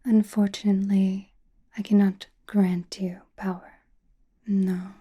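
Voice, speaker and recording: An enigmatic sorceress of chaos magic, acted out by a woman for an ASMR roleplay, whispers in a very dark, soft tone. Her delivery is slow and deliberate, breathy and cryptic, as if confiding in one listener. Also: mid-range voice, flat delivery. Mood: probing, firm.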